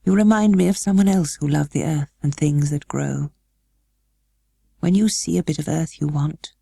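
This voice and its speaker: A melancholy, worried gentleman guardian, acted by a woman storybook reader. He speaks slowly and haltingly in a gravelly, dark, weary voice, stiff but kind, recorded close and dry. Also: deep voice, no echo, exaggerated voice. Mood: soft, sad. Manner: slow, halting, worried, well-spoken.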